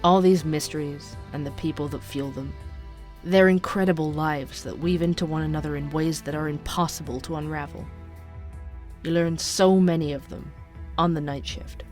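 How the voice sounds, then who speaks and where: A man's voice: a little high, fairly even intonation, normal speed, darkish tone, lightly acted. Man acting as earnest young podcast narrator over music. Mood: brooding, poetic. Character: earnest curious young man, night-shift barista and amateur podcaster. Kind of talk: scripted audio drama narration.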